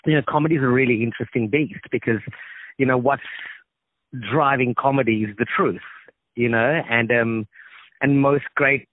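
A man with an Australian accent, an actor and comedian, chatting relaxed and talkative as a guest in a phone interview, laughing easily. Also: medium pitch, moderate pace, long pauses, a little hiss or hum. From Sri Lankan family, grew up in Australia. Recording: tinny phone line.

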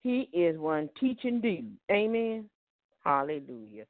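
Southern male preacher, slightly high voice, tinny phone-line audio, dry sound. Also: big pitch swings, slow, no room sound. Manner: peppers speech with "Amen" and "Hallelujah". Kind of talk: Bible teaching sermon.

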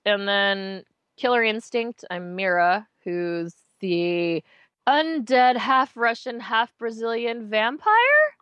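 A woman voice actor from the United States, chatting casually in an interview with quick, self-mocking asides. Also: on the high side, animated, a bit bright, smooth, no room sound, highs cut off. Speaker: voice actor guest.

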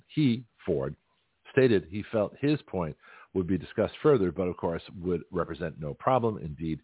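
A man hosting a talk radio show, chatting and rambling with a gravelly, slightly hoarse voice. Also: deep voice, no echo. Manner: rambling, chatty, self-interrupting. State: slightly hoarse, has a cold. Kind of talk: casual talk radio monologue. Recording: dry, phone-like narrow sound.

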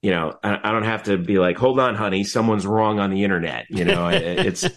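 A man's voice: fairly low pitch, a little fast, gravelly. A middle-aged man talking casually as an interview guest, explaining in a rambling way and often interrupting himself mid-thought. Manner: rambling, animated explaining with frequent self-interruptions. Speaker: interview guest, announcer and teacher.

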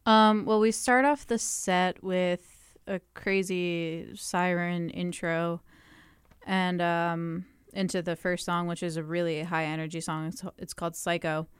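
A woman's voice: lowish voice, level tone, measured pace, smooth, no echo, clean studio sound. A young woman singer answering interview questions in a chatty way, with frequent "um" and "like" and little laughs. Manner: chatty, laughs often, fillers like "um" and "like".

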